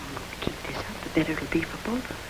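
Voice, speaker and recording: A wry, low-voiced gangster's moll. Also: female voice, lively intonation, rapid-fire, background noise. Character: cynical gangster's girlfriend, worldly. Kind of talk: 1940s radio acting.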